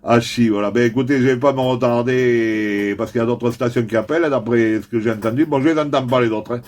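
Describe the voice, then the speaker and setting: A man's voice: medium pitch, steady tone, a little fast, a little mellow. Male radio hobbyist, casual and rambling conversational delivery. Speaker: CB radio operator. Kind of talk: casual amateur radio chat.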